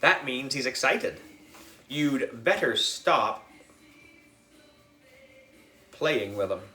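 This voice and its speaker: A man voicing a cheeky, sulky third-grade boy in a children's audiobook, blunt, childish and expressive. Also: medium pitch, drawn-out words, reverberant, faint music behind, heavily acted. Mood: know-it-all.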